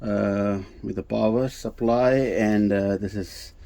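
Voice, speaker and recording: A man giving an unscripted technical demonstration as a presenter, speaking in a halting way and circling back over his phrases. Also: fairly low pitch, fairly even intonation, gravelly, mellow. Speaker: vintage radio reviewer and presenter.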